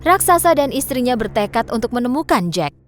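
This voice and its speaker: A woman's voice: a little high, big pitch swings, fairly quick, a little hiss or hum. A woman with an Indonesian accent narrating a scripted children's story as the storyteller.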